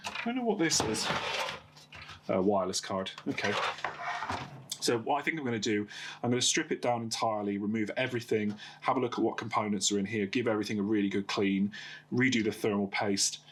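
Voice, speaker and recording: Croaky man with a cold. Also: lowish voice, brisk, fairly bright tone, slight background noise. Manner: hesitant, many uh and um fillers. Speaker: host of a PC repair channel. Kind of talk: casual hands-on repair talk.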